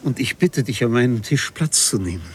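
An actor playing a polite, eager bookseller in a German radio play, speaking formally and with some hesitancy. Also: male voice, medium pitch, animated, brisk, natural voice. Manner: hesitant, formal, mirrors archaic address. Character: polite, eager Parisian bookseller meeting a time traveller. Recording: 1970s radio play with audible noise.

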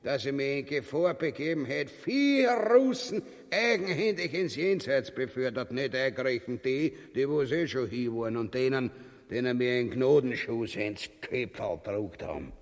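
An actor playing a cowardly, boastful, mock-pompous schemer in a comic radio play, speaking Austrian-Bavarian dialect and swinging between muttering and wailing. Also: male voice, mid-range voice, animated, moderate pace, natural voice. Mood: mock-pompous boasting. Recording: long hall-like reverb.

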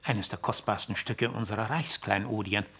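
A man's voice: lowish voice, fairly even intonation, moderate pace, vocal fry, sharp tone, breathy edge, heavily acted. An actor playing a fussy, deferential banker in a German radio drama, formal and proper in his speech. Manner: formal, obsequious to his betters.

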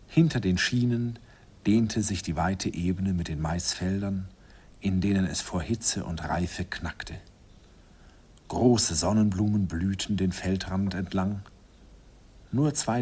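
An actor playing a nostalgic older man who recalls his shy first love in an unhurried, wistful radio play narration. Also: low voice, darkish tone, husky, heavily acted. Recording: vintage radio recording with music behind.

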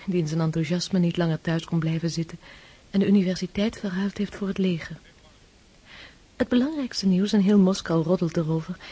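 Young society lady, soft dark timbre, breathy, confiding, romantic gossip for period radio drama. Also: lowish voice. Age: young adult. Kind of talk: Dutch radio drama acting, reading a letter.

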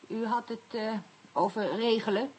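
A woman's voice: fairly high pitch, moderate pace, a little mellow, a little hiss or hum, lightly acted, rough recording. An actress playing a guarded, haughty woman suspect under police questioning in a Dutch radio play. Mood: wary, yielding.

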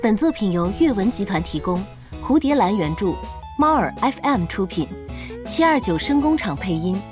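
A woman's voice: mid-range voice, very animated, moderate pace, over music, natural voice. A woman reading scripted episode credits as the announcer of an audio drama. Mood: formal.

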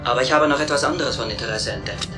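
A man's voice: medium pitch, rapid-fire, natural voice. Man voicing a polite, precise robot companion over music. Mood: polite, alert. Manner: courteous, articulate, reporting. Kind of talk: radio play acting.

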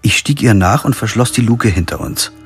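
Radio crime drama: measured lawyer-narrator, low rough-edged voice, soft music underneath. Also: male voice, expressive, heavily acted. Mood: sober, tense. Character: sober male lawyer telling his own crime story.

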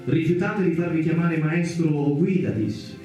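A man's voice: fairly high pitch, normal speed, warm tone, natural voice. An actor playing a matter-of-fact man who challenges with scripture in a radio play. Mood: firm rebuttal. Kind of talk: radio theatre dialogue. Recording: very echoey, distant, clear music behind.

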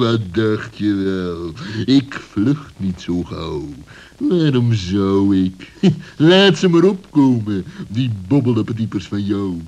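A man voicing a sly, greedy fox in a children's radio play, with a husky, menacing and mocking manner. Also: lowish voice, sing-song, slow pace, a little mellow, a little hiss or hum, full character voice. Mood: suspicious, scoffing. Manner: menacing, mocking, swooping delivery with chuckles. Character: sly, greedy, bullying male fox villain.

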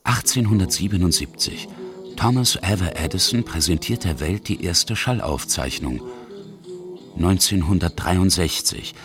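A man's voice: low-pitched, animated, a little slow, over music. A middle-aged man narrating a scripted radio feature as its author.

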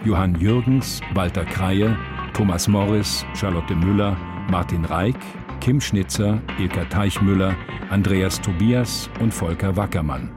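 A man reading scripted radio play credits in a measured announcer's style. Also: low-pitched. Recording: guitar music behind the announcement.

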